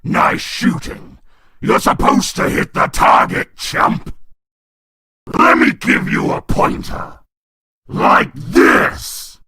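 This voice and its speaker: A man voicing a cruel, mocking male demon clown in a fan comic dub, with a raspy snarl and cackling taunts. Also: slow pace, dry recording. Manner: taunting, cackling, shouting insults.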